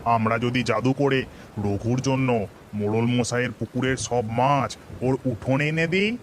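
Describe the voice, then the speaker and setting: A man's voice: mid-range voice, unhurried, slight background noise, natural voice. A man playing a kindly male village ghost in human guise in a cartoon. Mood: helpful, suggesting. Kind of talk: Bengali cartoon voice acting.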